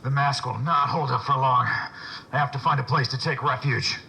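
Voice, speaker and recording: A man's voice: medium pitch, steady tone, moderate pace, gravelly, bright, forceful tone, slight background noise, low-quality recording. A man playing a treacherous soldier in a video game, smug and spiteful, with a taunting delivery. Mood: grim, frustrated. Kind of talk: video game cutscene acting.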